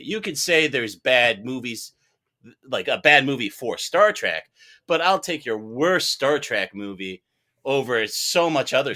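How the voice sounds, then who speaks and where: A man's voice: medium pitch, bright, forceful tone. A talkative, enthusiastic man in a casual, playful film debate as a podcast judge and juror.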